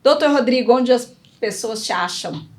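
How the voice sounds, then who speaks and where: Higher-voiced woman hosting a podcast. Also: expressive, moderate pace. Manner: warm thanks and upbeat outro. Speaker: podcast host.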